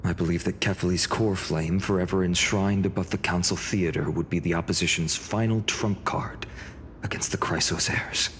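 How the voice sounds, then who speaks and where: A man's voice: low voice, steady tone, moderate pace, fairly bright tone, a little hiss or hum. A man playing an earnest, courteous young heroic leader in a game, composed and polite. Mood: serious. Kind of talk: scripted game drama acting. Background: music.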